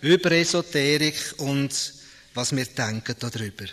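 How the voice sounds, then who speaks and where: A man's voice: mid-range voice, a little slow, fairly bright tone. Swiss German man hosting in an echoey hall. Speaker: host introducing guest speakers. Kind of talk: informal spoken welcome to an audience. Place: large reverberant hall. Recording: echoey hall with long reverb.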